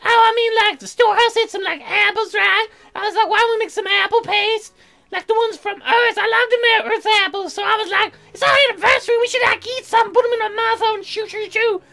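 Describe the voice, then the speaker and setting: Very high-pitched, whiny, dim-witted young woman for a comic game, childish slangy grammar. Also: steady tone, fairly quick, gravelly, sharp tone, full character voice. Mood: whiny complaining. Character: whiny, dim, food-obsessed young female colonist neighbor. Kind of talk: game character voiced in a let's play.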